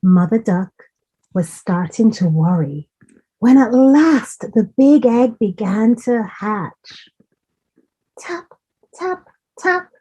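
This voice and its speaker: Very slow, mellow woman reading to children. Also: on the high side, husky, poor audio. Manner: deliberate, expressive, questioning young listeners. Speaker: storyteller for children. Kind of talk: children's story reading with asides to listeners.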